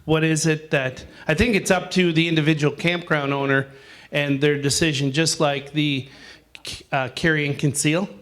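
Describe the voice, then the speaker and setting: Guy running a group chat in a meeting room, kinda rambly, voice on the high side. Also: fairly even intonation, normal speed, fairly bright tone. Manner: rambling, with many fillers and restarts. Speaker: discussion group leader. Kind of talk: casual group discussion.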